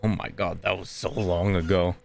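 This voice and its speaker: A man's voice: sits low, level tone, normal speed, vocal fry, soft background music. A man casually playing through a video game, reading lines aloud and making laid-back, offhand remarks. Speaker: gaming video host.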